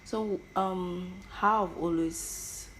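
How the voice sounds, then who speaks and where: A woman's voice: fairly low pitch, steady tone, drawn-out words, fairly bright tone, slight background noise, low-quality recording. A young Nigerian woman with an Igbo accent, whose first language is Igbo. She hosts a podcast and talks through her thoughts in a personal monologue, often stammering and repeating words. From Igbo land, Nigeria. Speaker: podcast host.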